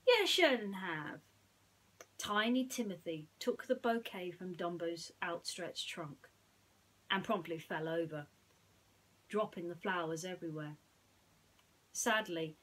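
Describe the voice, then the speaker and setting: A woman's voice: on the low side, measured pace, husky, low-quality recording. A woman telling a bedtime story and its simple message to listeners as a storyteller. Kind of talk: bedtime story narration and gentle reflection.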